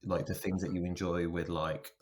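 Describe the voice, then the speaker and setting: A man's voice: low voice, flat delivery, moderate pace, a little hiss or hum. A man talking casually as a podcast guest about himself, thinking aloud as he goes. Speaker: podcast guest, software engineer and linguist. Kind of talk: casual conversational interview, telling own career story.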